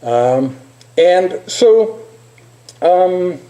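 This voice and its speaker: High-pitched older man giving an informal talk. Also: expressive, very slow, warm tone. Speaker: physician giving a presentation.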